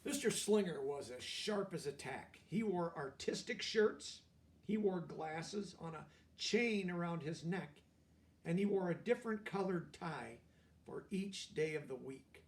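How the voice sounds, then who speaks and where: A man's voice: a little high, expressive, a little slow, recorded from a distance. A man reading a picture book aloud expressively, with explanatory asides for young listeners.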